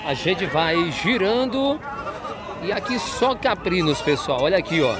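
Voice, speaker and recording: Lively high-voiced man at a market. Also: measured pace. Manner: chatty, asks prices, repeats them to viewers. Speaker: market reporter host. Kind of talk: walking market vlog, interviewing sellers. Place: outdoor livestock fair. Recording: busy outdoor market crowd. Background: crowd chatter and animals.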